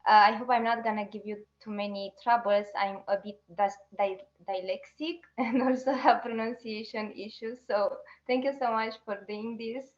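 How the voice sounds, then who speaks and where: A woman from Romania giving an online talk as an activist, pausing and restarting her sentences often. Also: fairly high pitch, steady tone, roomy sound, muffled recording. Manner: halting, with restarts and fillers. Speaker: activist speaker.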